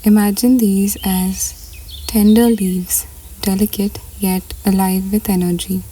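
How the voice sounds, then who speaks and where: A woman leading a scripted guided meditation in a soft, breathy voice that often nears a whisper, unhurried and measured with pauses between short phrases. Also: medium pitch, little pitch movement.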